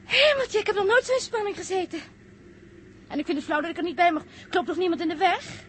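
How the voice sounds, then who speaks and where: A woman playing an eager, chatty young woman in a 1960s Dutch radio drama, gushing and stammering with excitement. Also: extremely high pitch, fast. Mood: excited, longing. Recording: faintly noisy 1960s radio recording.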